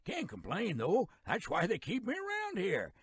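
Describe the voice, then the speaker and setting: Raspy old rural American geezer, high voice, wildly animated, folksy game guide. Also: male voice, moderate pace, no room sound, studio quality, full character voice. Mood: folksy, teasing. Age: older. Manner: folksy, chuckling, rambling drawl. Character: folksy, teasing elderly male railroad museum caretaker. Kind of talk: character narration for a video game.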